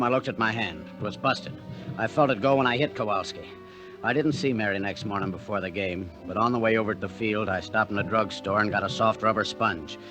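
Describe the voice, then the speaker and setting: An actor playing a hot-tempered, guilt-ridden baseball catcher in a vintage American radio drama, with gruff, slangy, clipped talk. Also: male voice, lowish voice, close to an everyday voice, poor audio. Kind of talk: 1940s-50s American radio drama acting. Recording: vintage radio recording with music.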